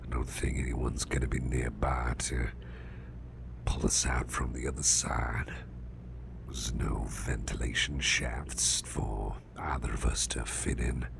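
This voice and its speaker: A man playing a big, anxious but caring businessman boss in an ASMR roleplay, murmuring haltingly in a breathy, gravelly near-whisper. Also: rumbling low, flat delivery, exaggerated voice. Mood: calming, gentle. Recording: clearly noisy background hiss.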